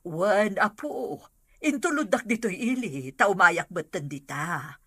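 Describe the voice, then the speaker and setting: A bright-voiced kindly old grandmother. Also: mid-range voice, expressive, unhurried, husky, dry recording, studio quality, exaggerated voice. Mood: fond. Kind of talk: Ilocano radio drama acting.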